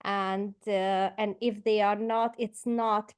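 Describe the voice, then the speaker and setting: A Hungarian-accented woman in her forties talks through her career as a podcast guest. Her speech halts often on fillers and restarts. Her voice sits at a middle pitch for a woman. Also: little pitch movement, slow, smooth. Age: 40s. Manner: hesitant, many fillers and restarts. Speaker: guest entrepreneur. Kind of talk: podcast interview.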